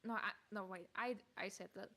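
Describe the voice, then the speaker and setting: This is a woman with a German accent, speaking English as her second language. She's a streamer in a loose, animated chat, laughing often and swearing casually. Her voice sits at an ordinary middle pitch. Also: brisk.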